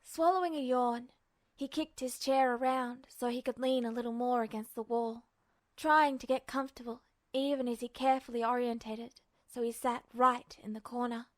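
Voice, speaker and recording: A woman's voice: a little high, steady tone, normal speed. A woman reading a story aloud as a scripted audiobook-style narration. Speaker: fan fiction podfic narrator.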